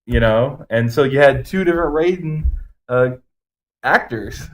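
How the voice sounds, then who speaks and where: A man's voice: mid-range voice, a little slow, low-quality recording. A man co-hosting a podcast in casual, agreeable conversation. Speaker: podcast co-host.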